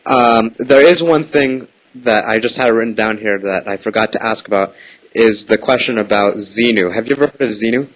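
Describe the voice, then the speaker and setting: A man with a fairly low voice speaks as a caller on the phone. He asks questions in a casual, meandering way, hesitating often while still pressing his point. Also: moderate pace, low-quality recording. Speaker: prank caller. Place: phone call.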